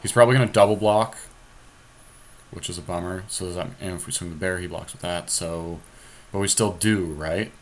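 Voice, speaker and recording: Man, lowish pitch, casual card game commentary, thinking aloud, resigned, matter-of-fact, faint background noise, homemade capture. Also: a little fast. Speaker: podcast host. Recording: noisy amateur screen-capture recording.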